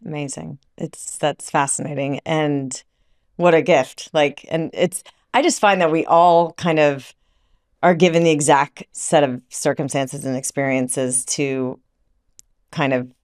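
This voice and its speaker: A woman's voice: deep voice, fairly even intonation, unhurried, no echo, studio quality. A woman hosting a podcast, affirming her guest with effusive praise and searching for words as she talks. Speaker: podcast host. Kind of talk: conversational podcast interview.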